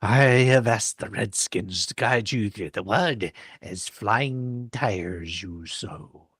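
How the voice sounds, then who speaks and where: A man's voice: lowish voice, slow, a bit bright, breathy edge, dry recording, clean studio sound, exaggerated voice. A man voicing Peter Pan as a bossy, sulky, foul-mouthed boy leader, curt, cocky and commanding.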